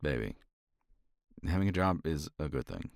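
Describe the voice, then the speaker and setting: Very deep gravelly man, soft and intimate. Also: animated, normal speed, no room sound, studio quality. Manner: tender, reassuring partner talk to a listener. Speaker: ASMR roleplay performer. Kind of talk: romantic ASMR roleplay, intimate soft talk.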